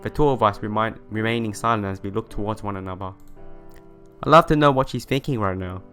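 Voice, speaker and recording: Male let's play narrator: clean, resonant mid-range voice, expressive read of visual novel text over light music. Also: on the low side, fairly quick, clean tone. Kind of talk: reading visual novel narration aloud with casual asides. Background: background music.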